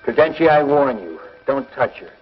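An actor playing a resolute young space hero in a 1950s TV serial, earnest and firm, with an American accent. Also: male voice, mid-range voice, expressive, moderate pace, lightly acted. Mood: stern threat. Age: young adult. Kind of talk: 1950s television serial acting. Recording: dull, lo-fi 1950s television soundtrack.

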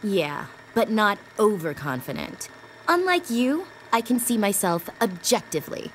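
A woman's voice: mid-range voice, very animated, measured pace, low-quality recording. An actress playing a young, lethal, self-assured girl assassin in a video game, blunt and devoted. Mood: cold, confident. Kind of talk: video game cutscene acting. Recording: game audio with faint ambient noise.

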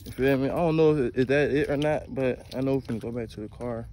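A young man vlogging casually outdoors on a lake, talking in slang with excitable, nervous laughter into a phone held close. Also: mid-range voice, fast, slight background noise. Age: young adult. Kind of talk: casual vlog chat. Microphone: phone camera held close.

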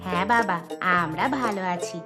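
A woman's voice: lowish voice, normal speed, natural voice. Casting: woman playing caring cartoon village auntie, polite and kindly, music playing behind. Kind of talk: cartoon voice acting.

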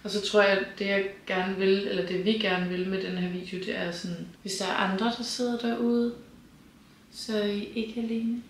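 A woman in her twenties talking frankly to camera in a casual vlog, seated beside her partner in a bright room. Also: medium pitch, steady tone, slight background noise. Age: 20s. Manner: open, frank, laughs lightly. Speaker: vlogger. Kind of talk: casual personal talk to camera. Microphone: camera on tripod, seated beside partner. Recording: roomy echo.

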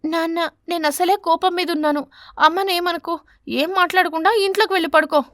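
Casting: fed-up schoolgirl sparrow for an animated tale, very high, sharp-tongued , played by a woman. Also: a little fast, no echo, studio quality. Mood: irritated. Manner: loud, complaining, sharp-tongued. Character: young schoolgirl sparrow, fed up and outspoken daughter. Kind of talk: animated bedtime story character.

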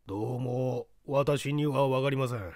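A man's voice: medium pitch, unhurried, a little mellow, dry recording, clean studio sound, lightly acted. A man voicing a timid, apologetic electrical technician testifying in a dramatic audiobook reading. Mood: meek, apologetic. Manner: meek, deferential.

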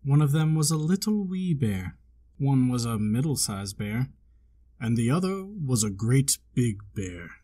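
A man reading a children's storybook aloud as narrator, with measured, expressive storytelling phrasing. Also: mid-range voice. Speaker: storybook reader.

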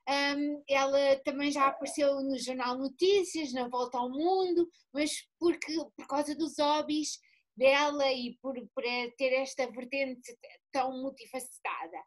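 A woman with a European Portuguese accent hosts an interview through her laptop webcam. Her voice is high and bright in pitch, and she talks with enthusiasm, often stopping and restarting her phrases. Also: fairly even intonation. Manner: enthusiastic, halting, with many restarts. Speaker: interview host. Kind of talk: casual interview over video call. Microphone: laptop webcam on video call.

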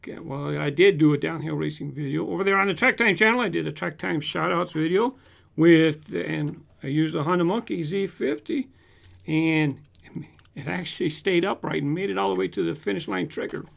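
Gravelly high-voiced man from Southern California. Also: normal speed. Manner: animated, gestures with hands, shows toy cars. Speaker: toy car collector channel host. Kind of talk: casual chat answering viewer comments. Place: home room with shelves of toy cars. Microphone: large desk condenser mic in front.

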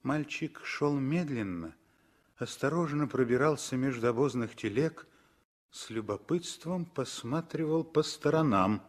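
An actor narrating a 1960s radio play as a solemn, measured and expressive storyteller. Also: male voice, medium pitch.

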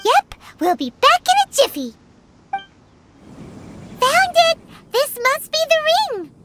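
A tiny, childlike fairy sidekick from a video game, extremely high and squeaky. The delivery swings up and down excitedly at an unhurried pace, chirpy and greedy. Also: female voice, very noisy, exaggerated voice. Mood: chirpy, eager. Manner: squeaky, childish, refers to self in third person. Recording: game music behind the voice.

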